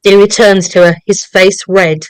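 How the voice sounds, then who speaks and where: A woman reading scripted stage directions as narrator, deliberate and storytelling. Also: medium pitch, steady tone, dry recording, rough recording.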